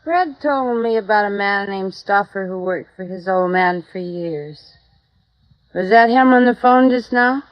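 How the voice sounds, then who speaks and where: Casting: actress as hard-luck widow, bitter and guarded, mid-range voice, dry vintage radio drama recording. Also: female voice, medium pitch, a little slow, dry recording, dull audio, natural voice. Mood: flat, subdued. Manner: guarded, world-weary, defiant. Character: bitter, tough, down-and-out young widow. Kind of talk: 1950s American radio noir acting.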